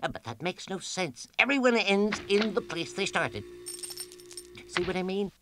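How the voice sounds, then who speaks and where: An eager, shifty cartoon scientist, swinging wildly in pitch. He wheedles like a salesman and mumbles hesitant asides, with loud music behind him. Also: big pitch swings, moderate pace. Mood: defensive. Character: eager, shifty eccentric male scientist showing off his invention. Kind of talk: animated cartoon character acting.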